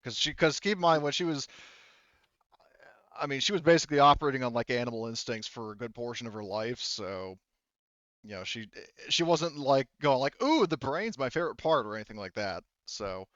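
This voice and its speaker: A man with a clear, resonant voice narrates a tabletop roleplaying game as game master. He talks casually and rambles as he improvises. The recording is dry and a little muffled. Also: medium pitch, lively intonation, brisk, fairly bright tone, dry recording. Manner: rambling, conversational.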